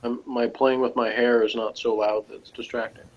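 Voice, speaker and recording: A man's voice: mid-range voice, flat delivery, normal speed, darkish tone. A man giving casual, conversational commentary as co-commentator on a review show. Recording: dull lo-fi online call audio.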